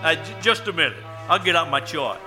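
Man acting a high-voiced, brusque building superintendent. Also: lively intonation, brisk, music in the background, natural voice. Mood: sceptical, businesslike. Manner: brusque, colloquial. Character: gruff, sceptical apartment building superintendent. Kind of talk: old-time radio suspense acting. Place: live performance at a winery.

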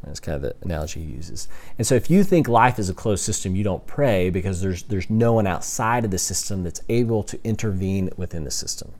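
A man's voice: deep voice, animated, brisk, darkish tone. A young man teaching a class, talking casually, thinking aloud with restarts and questions to the students. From Texas. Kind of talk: classroom lecture.

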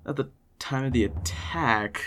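A man's voice: medium pitch, a little slow, fairly bright tone, a little hiss or hum, lightly acted. Man acting a nervous, stammering servant boy. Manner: hesitant, stammering.

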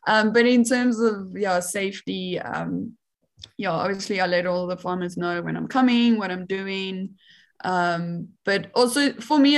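A young woman from South Africa with a South African accent, chatting as a researcher guest in an interview, laughing often and using many fillers. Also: mid-range voice. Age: young adult. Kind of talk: casual interview chat.

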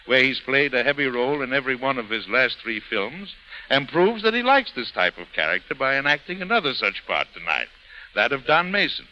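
A man presenting and narrating a scripted 1930s radio programme in a formal, theatrical way. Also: medium pitch. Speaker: guest host and narrator. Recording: muffled 1930s radio broadcast.

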